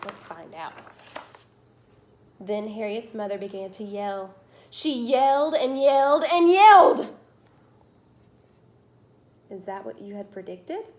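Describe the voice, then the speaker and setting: A woman teacher reading a picture book aloud to children, with expressive, emphatic storytelling and drawn-out phrases. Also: medium pitch, slight background noise.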